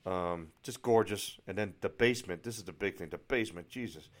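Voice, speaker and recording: A man's voice: sits low, a little fast. A middle-aged American man vlogging in a casual, anecdotal way, rambling and exasperated, with some mild swearing. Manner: rambling, exasperated, mildly profane.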